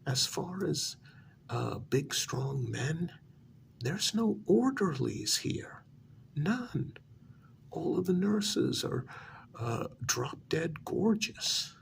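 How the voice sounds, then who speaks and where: A man playing a smug, deluded asylum inmate in a soft-spoken ASMR role-play, breathy and half-whispered, talking down to his listener in a halting, condescending way. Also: a little high, sing-song, a little hiss or hum, full character voice. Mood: smug, insistent. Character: deluded male asylum inmate, smugly convinced he created the world.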